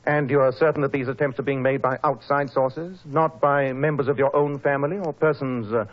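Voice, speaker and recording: An actor playing a shrewd, composed gentleman detective in an old radio drama, precise and inquisitive. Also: medium pitch, steady tone, brisk, natural voice, rough recording. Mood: composed, probing.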